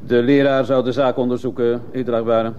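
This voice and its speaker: A man's voice: mid-range voice, flat delivery, brisk, a little mellow, natural voice. A man playing a dutiful, disapproving sergeant giving stiff, deferential testimony in a radio drama. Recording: muffled, hissy old radio play recording.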